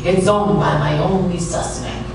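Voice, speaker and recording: A man's voice: a little high, fairly even intonation, a little slow, recorded from a distance, background noise, natural voice. A man playing an impatient, hard-boiled private eye in a comic noir radio play. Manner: urgent, exasperated. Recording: very echoey, off-mic, music behind.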